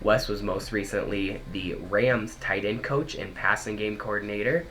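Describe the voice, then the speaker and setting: A man's voice: fairly low pitch, moderate pace, fairly bright tone. A young man co-hosting a casual sports podcast, his voice congested from a head cold, chatting his way through a news rundown. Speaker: podcast co-host.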